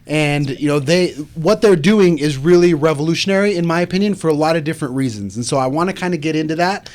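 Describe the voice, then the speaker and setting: Higher-pitched male podcast host. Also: fast talker, a little mellow. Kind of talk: casual podcast interview.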